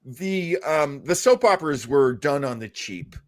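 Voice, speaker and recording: A man's voice: fairly high pitch, animated, a little slow. A man hosting a casual discussion show, talking in a wry, dryly joking way. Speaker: talk show host. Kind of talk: casual discussion of old radio.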